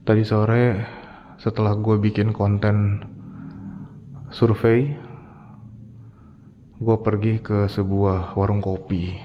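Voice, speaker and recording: A man from Jakarta with a Jakarta accent, casually telling a spooky local story as a ghost-hunting vlogger inside a hospital morgue at night, dropping to a hushed whisper at noises. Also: on the low side, level tone, slow, long pauses, lo-fi sound, a little hiss or hum. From Jakarta, Indonesia.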